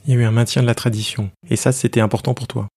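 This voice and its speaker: A man's voice: medium pitch, fairly even intonation, racing, mellow. A man hosting an interview podcast, presenting his guest and putting questions. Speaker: podcast host, professional coach. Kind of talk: scripted introduction and interview questions.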